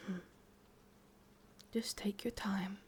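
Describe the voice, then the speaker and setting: A woman with an English accent playing a possessive, lovesick witch in a whispered ASMR roleplay, coaxing softly and intimately, close to the microphone. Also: medium pitch, steady tone, takes it slowly, a little hiss or hum, natural voice. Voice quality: breathy, whispery.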